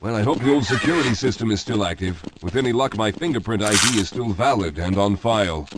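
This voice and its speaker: A man's voice: fairly low pitch, expressive, fast talker, heavy background noise, lightly acted. A man playing a formal, anxious scientist in echoey reverb. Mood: impatient, determined. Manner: formal, articulate, explanatory. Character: weary, guilt-ridden scientist, anxious and self-critical. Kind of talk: scripted video game character dialogue. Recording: echoey hall with long reverb.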